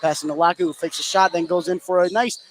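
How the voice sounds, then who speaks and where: High-pitched man calling live basketball. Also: fairly even intonation, fast talker. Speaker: play-by-play sports announcer. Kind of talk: live basketball radio commentary. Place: indoor high school gymnasium. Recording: clear venue noise behind the voice.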